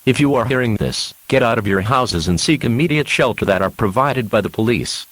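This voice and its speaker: A man playing an earnest radio news announcer, reading a scripted emergency alert in a formal, measured manner. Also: medium pitch, lively intonation, a bit bright, no echo. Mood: grave, formal.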